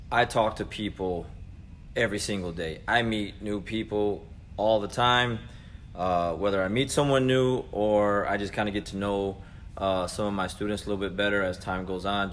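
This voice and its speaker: Casting: American man, 40s, slightly low pitch, earnest casual motivational coach, faintly noisy ambience. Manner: conversational, earnest, addressing listeners directly as guys and man. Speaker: jiu-jitsu coach and vlog host. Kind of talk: casual motivational talk to camera. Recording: clearly noisy background ambience.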